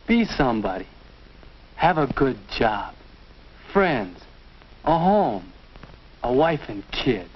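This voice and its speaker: A man's voice: a little high, heavily acted. A young American man acting as an earnest teenage boy thinking aloud in a 1950s educational film. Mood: earnest, determined. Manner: deliberate, self-questioning, earnest. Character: earnest teenage boy working out his future. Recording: dull vintage 1950s film soundtrack.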